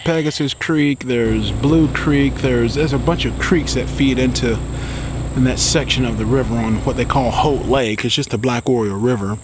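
A middle-aged American man talks casually to camera as a fishing vlogger. His medium-pitched voice rambles informally through explanations, often repeating words. The outdoor recording carries noticeable cricket and insect noise. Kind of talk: casual instructional talk to camera. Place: outdoors beside a building in a green yard. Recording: clearly noisy outdoor insect ambience.

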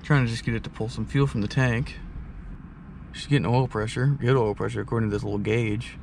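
A man's voice: mid-range voice, brisk, gravelly, noisy background. A young man chatting casually while he works on an old car, joking and filling his talk with "like". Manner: relaxed, joking, lots of "like" fillers. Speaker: car restorer. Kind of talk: casual hands-on chat. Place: outdoor lot, then a garage workshop.

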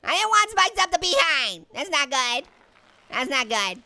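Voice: high voice, big pitch swings, a little slow, pushed and bright, exaggerated voice. A performer voicing a cocky, joking talking orange who comments on a game, goofy and full of yelps. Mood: playful. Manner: goofy, jokey, yelps and laughs. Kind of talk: cartoon character playing a game on camera.

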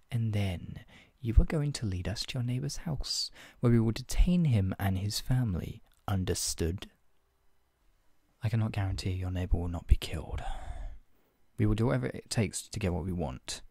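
Casting: male actor as menacing redcoat interrogator, lowish voice, clipped and officious, ASMR roleplay, dry close sound. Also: animated, moderate pace, gravelly, dark tone, husky, no room sound, exaggerated voice. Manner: clipped, authoritative, formally polite with condescending threat. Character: stern, menacing British redcoat soldier interrogating a colonist. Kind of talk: ASMR roleplay, one-sided scripted dialogue.

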